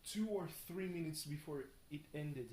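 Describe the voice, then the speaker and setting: A tired young man rambles casually through a video diary, halting and thinking aloud, often questioning himself. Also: a little high, a little mellow. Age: young adult. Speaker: video blogger. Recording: roomy echo, faint background noise.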